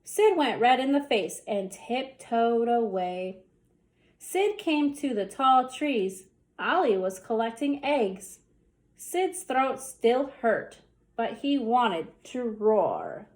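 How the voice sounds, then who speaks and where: A woman with a high voice reads a children's bedtime story aloud. She takes her time, speaking slowly and deliberately, and gives the action words theatrical emphasis. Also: animated, darkish tone. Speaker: storyteller reading a children's book.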